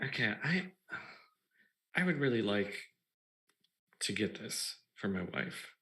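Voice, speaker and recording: A man's voice: lowish voice, very animated, slow, sharp tone, heavily acted. A man playing a hesitant, short-of-money customer who questions things in a wry way. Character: hesitant broke male customer. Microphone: video call.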